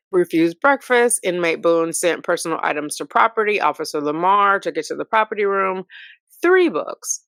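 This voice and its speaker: A woman's voice: deep voice, vocal fry, no echo. An American woman hosting a commentary channel, reading reports dramatically and breaking into sassy, conversational asides.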